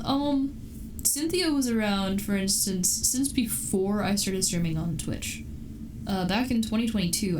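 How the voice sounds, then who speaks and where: A young woman streams and chats loosely with her viewers, giggling often and trailing into restarts. Her voice sits fairly high and comes from a little way off the mic, with steady background noise. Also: distant mic. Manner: giggly, rambling, many restarts. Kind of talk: casual chat with live chat.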